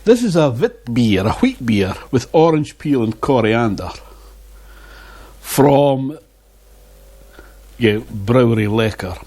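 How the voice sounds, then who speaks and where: Slow-talking man reviewing beer. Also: medium pitch, big pitch swings, a little mellow, slightly rough. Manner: slow, chatty, muttered asides. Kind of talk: casual tasting vlog. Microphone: wireless lapel mic.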